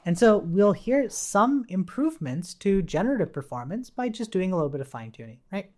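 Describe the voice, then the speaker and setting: A woman's voice: medium pitch, big pitch swings, fairly quick, a little mellow. A woman giving a casual technical screencast tutorial as an instructor, informally checking in with the listener as she explains. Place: indoors at a computer.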